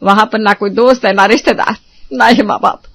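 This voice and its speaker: A woman's voice: medium pitch, steady tone, fairly quick, natural voice. A woman playing an accusing, domineering middle-aged mother-in-law in a melodramatic Hindi family drama, scolding with bitter sarcasm. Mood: bitter, aggrieved.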